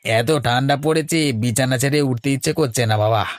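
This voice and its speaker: A man's voice: mid-range voice, a little fast, lightly acted. A man voicing an easygoing younger farmhand nephew in a village cartoon. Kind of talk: cartoon voice acting.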